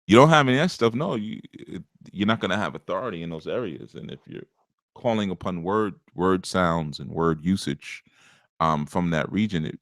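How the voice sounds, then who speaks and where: A low-voiced man hosting a live spiritual teaching show. He talks in a loose, conversational way, full of asides. The sound is close and dry, with no room around it. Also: dry recording. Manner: conversational, rambling, with many asides and chuckles.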